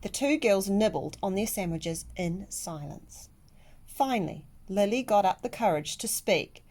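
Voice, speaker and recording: A woman reading a children's picture book aloud like a teacher, with deliberate, expressive emphasis. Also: mid-range voice. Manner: animated, deliberate, with dramatic emphasis.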